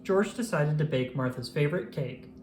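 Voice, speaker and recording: A narrator reading a children's picture book aloud in an expressive, measured storytelling style. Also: medium pitch, slight background noise.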